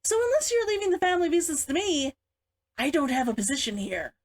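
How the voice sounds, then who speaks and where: Woman acting bitter younger sister, proud, challenging, high lively voice, storybook dialogue. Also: fast talker. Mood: insistent. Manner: defiant, challenging.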